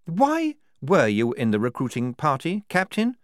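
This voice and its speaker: A man acts out a brisk army major from an audiobook. His voice sits high and moves around a lot. He is tetchy and principled, arguing with formal precision. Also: wildly swooping pitch, no room sound, studio quality. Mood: challenging. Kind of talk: audiobook character dialogue.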